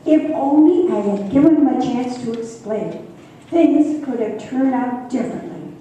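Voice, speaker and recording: Woman playing slow, regretful older mother. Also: on the high side, lively intonation, warm tone. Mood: musing, regretful. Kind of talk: amateur radio play acting. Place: echoey room at a senior center. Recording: very echoey, distant mic, faint noise.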